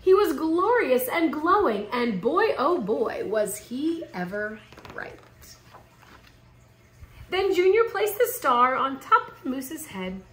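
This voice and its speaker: A woman in her thirties reading a children's picture book aloud in a theatrical, expressive way with drawn-out emphasis. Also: high-pitched, a little hiss or hum. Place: living room at home, indoors. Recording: roomy home recording.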